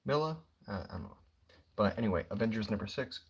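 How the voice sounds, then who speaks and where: A young man talking quietly and casually on a livestream, rambling about comic books with lots of fillers. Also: fairly low pitch, animated, fast, breathy edge, lo-fi sound. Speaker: comic book streamer.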